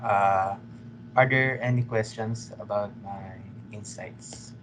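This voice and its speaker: A man's voice: on the low side, steady tone, slow, fairly bright tone, low-quality recording. Young Filipino-accented male student, hesitant delivery with fillers, faint background noise. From Philippines. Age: young adult. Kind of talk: class group discussion.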